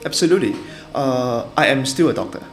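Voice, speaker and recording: A middle-aged man from Singapore, a doctor and company founder, giving measured interview answers with frequent pauses. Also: mid-range voice, animated. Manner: measured, pausing often between phrases. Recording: roomy room with background music.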